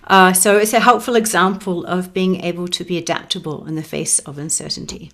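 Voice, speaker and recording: A woman giving a prepared academic talk remotely into a close mic, in a measured, reflective manner. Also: on the low side, fairly even intonation, fairly bright tone. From New Zealand. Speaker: conference presenter. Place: presenting remotely over the internet. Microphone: close microphone.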